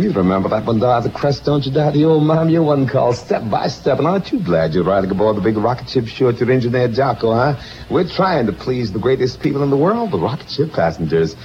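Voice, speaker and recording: A hoarse man working as a radio disc jockey, rattling off showy rhyming slang patter on an old radio broadcast. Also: mid-range voice, big pitch swings, a little fast, darkish tone, faint music behind. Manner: showy, rhythmic hipster slang. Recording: dull lo-fi vintage radio aircheck.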